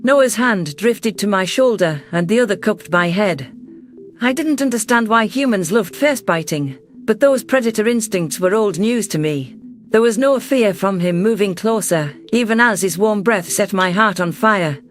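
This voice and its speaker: A man voicing a caring, composed female alien leader who narrates a sci-fi story in a gentle, reflective way. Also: high voice, lively intonation, moderate pace. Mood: tender. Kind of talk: first-person sci-fi story narration and dialogue.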